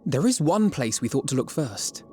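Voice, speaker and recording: A man's voice: mid-range voice, sing-song, normal speed, a little mellow, a little hiss or hum, natural voice. A man acting an earnest soldier in a video game cutscene, giving a formal, composed, articulate briefing.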